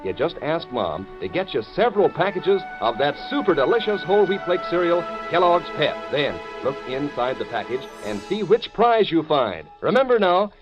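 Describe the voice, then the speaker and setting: A man announcing a 1940s radio commercial for children in a folksy, eager sales style. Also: fairly high pitch. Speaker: radio announcer reading sponsor ad. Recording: dull old radio recording, faint music.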